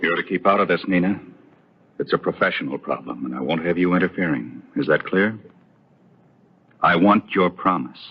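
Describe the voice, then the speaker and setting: A man's voice: low voice, animated, moderate pace, pauses often, a little hiss or hum. An American man playing an anguished, stubborn doctor in an old-time radio soap opera, heavy and emphatic. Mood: stern. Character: anguished, stubborn male doctor, stern and dutiful. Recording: dull, lo-fi vintage radio recording.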